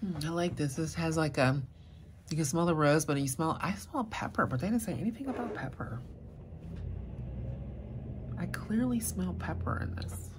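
A soft-spoken woman chatting casually as a vlogger, murmuring her thoughts quietly as she talks. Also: low voice, lively intonation, moderate pace, pauses often, a little hiss or hum. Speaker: fragrance vlogger. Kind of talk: casual chat.

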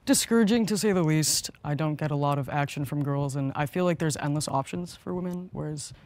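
A man's voice: fairly high pitch, brisk. A young college man in a show clip, talking about himself and teasing others. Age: young adult. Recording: show clip with loud music under it.

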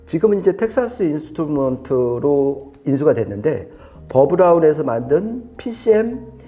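A man's voice: on the high side, very animated, moderate pace, dark tone, soft background music. A man giving an unscripted technical product review in a conversational, explanatory and emphatic way. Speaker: audio equipment reviewer explaining specs.

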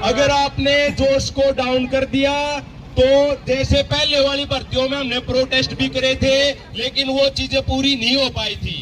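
A man's voice: very high-pitched, little pitch movement, normal speed, a bit bright. A man urgently rousing a protest crowd through a handheld mic outdoors. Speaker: protest speaker, lawyer. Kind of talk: rally speech. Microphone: handheld microphone. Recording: noisy crowd and traffic.

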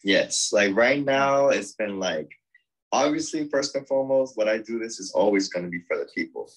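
A man's voice: lowish voice, animated, normal speed, poor audio. A man in his thirties, a DJ and producer, talking enthusiastically over a video call from a small home studio, rambling with many fillers. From United States. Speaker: techno DJ and producer. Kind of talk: casual video call interview. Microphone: laptop or webcam on video call.

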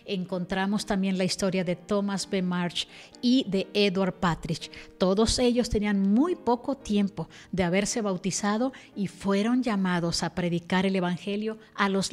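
A woman's voice: medium pitch, a little mellow. An older woman speaking in an earnest, reflective way as she presents a devotional scripture lesson to camera. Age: older, about 60. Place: indoor room, seated at a desk. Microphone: desk microphone. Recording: music playing behind the voice. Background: background music.

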